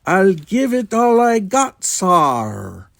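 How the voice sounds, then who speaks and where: A man voicing an excitable starship engineer with a put-on Scottish brogue in a dramatized audiobook. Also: sits high, drawn-out words, creaky, no echo, voice pushed up, heavily acted. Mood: eager, gulping. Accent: mock Scottish.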